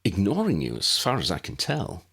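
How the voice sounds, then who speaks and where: A man's voice: deep voice, wildly swooping pitch, normal speed, gravelly, a bit bright, breathy edge, full character voice. A man voicing a cool, observant male wizard professor in an audiobook, clipped and matter-of-fact. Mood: dry.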